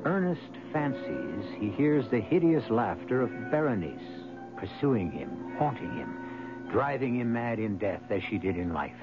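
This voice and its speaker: A man narrating a scripted suspense radio show as host, in a dramatic, deliberate manner. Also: medium pitch, very animated. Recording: muffled 1970s radio, music behind.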